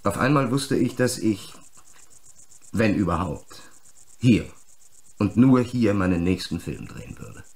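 Gravelly, brooding film director. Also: male voice, fairly low pitch, animated, darkish tone. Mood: brooding, nostalgic. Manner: slow, halting, musing monologue. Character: weary male film director, brooding, reflective. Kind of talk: radio play acting.

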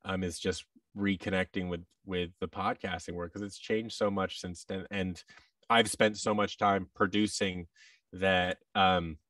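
A man's voice: low voice, fairly even intonation, measured pace, fairly bright tone, no room sound, distant mic. An American man in his thirties chatting casually as an interview guest, often restarting and acting out bits of dialogue. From United States. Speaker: podcast guest, voice actor. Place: large indoor room with tables, on a video call. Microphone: boom-arm microphone with headset.

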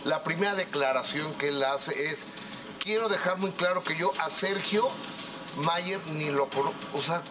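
A man hosting a TV talk show, assertively recounting a story. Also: fairly high pitch. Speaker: TV host.

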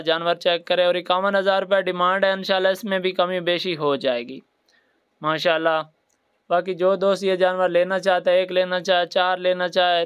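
A man's voice: high voice, fairly bright tone, clear, resonant tone. A man with a Pakistani accent narrating a promotional livestock sales video in repetitive sales patter.

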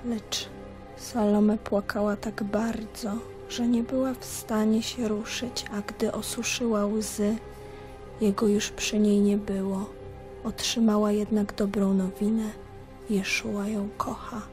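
A woman's voice: mid-range voice, lightly acted. An actress playing a grieving young princess, solemnly chanting her own tale in the third person in a radio drama. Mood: reverent.

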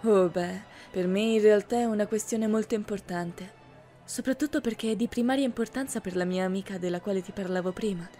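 A woman's voice: mid-range voice, fairly quick, mellow, lightly acted. Refined, haughty rich schoolgirl, acted by a woman. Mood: polite, genteel. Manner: formal, genteel, carefully worded. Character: refined wealthy high-school girl at a gala, polite, haughty. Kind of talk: scripted anime fan-dub roleplay. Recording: music behind the voice.

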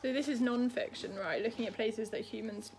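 A woman's voice: a little high, fairly quick, a little mellow. A woman in her twenties hosting a casual book chat and prompting her companion. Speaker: book vlog host. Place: small room at home, indoors. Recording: faintly noisy home room recording.

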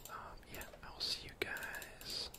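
A young man whispering casual, gently chatty ASMR game commentary in a soft, breathy voice. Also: takes it slowly. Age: young adult. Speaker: gaming ASMR video host. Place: indoor room at home.

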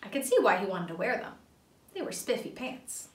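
A woman's voice: medium pitch, big pitch swings, fairly quick. A woman in her twenties reading a children's story aloud in a small room, away from the microphone. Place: small indoor playroom. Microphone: camera a few feet away. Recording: roomy, distant camera microphone.

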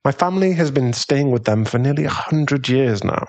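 A man with a British accent playing a tender, protective aristocratic werewolf fiancé in a soothing ASMR boyfriend roleplay, his voice husky and genteel. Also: mid-range voice, animated, moderate pace, darkish tone, close to an everyday voice. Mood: reassuring, caring.

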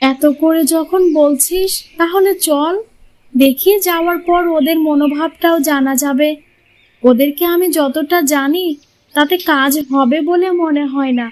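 A woman's voice: high-pitched, measured pace, darkish tone. A woman voicing a poor, weary widowed village mother in a cartoon, tender and plaintive, loving but doubtful. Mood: doubtful, resigned. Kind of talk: Bengali moral-tale cartoon dubbing.

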